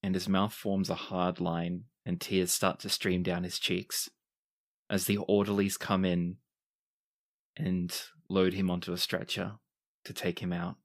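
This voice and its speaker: Measured male game master narrating. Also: deep voice, level tone. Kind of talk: narrating a tabletop roleplaying game.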